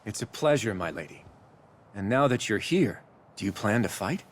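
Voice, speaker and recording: An actor playing a composed bird-warrior leader in a video game, courteous and confident. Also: male voice, lowish voice, animated, moderate pace. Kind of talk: dramatic video game cutscene acting.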